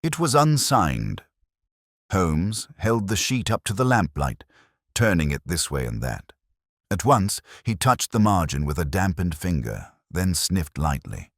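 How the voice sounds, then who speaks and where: A man's voice: low-pitched, very animated, a little slow, no echo, clean studio sound. A man reading a detective mystery as scripted first-person audiobook narration.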